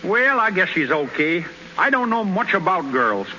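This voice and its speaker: An actor playing a bashful, stammering young ballplayer with folksy slang, in 1930s radio comedy style. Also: male voice, sits high, big pitch swings, moderate pace, pushed and bright, heavily acted. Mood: sheepish, evasive. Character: bashful young male ballplayer, alibi-making, sheepish and stammering. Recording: noisy muffled 1930s radio recording.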